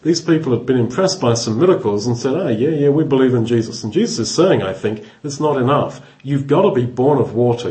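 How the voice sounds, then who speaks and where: A man's voice: medium pitch, darkish tone, low-quality recording. A man giving expository Bible teaching, thoughtful, often restarting phrases as he explains verses word by word.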